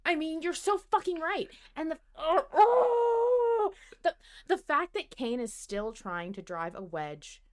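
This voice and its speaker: A woman hosting an animated fan discussion, talking emphatically with plenty of swearing and rhetorical questions. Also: very high voice, slow. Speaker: podcast host.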